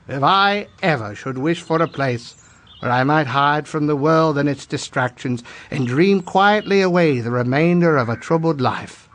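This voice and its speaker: A man playing a reflective storyteller in an audio drama, narrating an old country legend with measured, literary diction. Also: fairly high pitch, close to an everyday voice. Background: background music.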